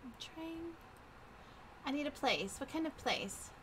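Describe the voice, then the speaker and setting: Slow-paced, higher-voiced woman roleplaying in game. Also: lively intonation, a little hiss or hum, rough recording. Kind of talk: casual in-game roleplay chat.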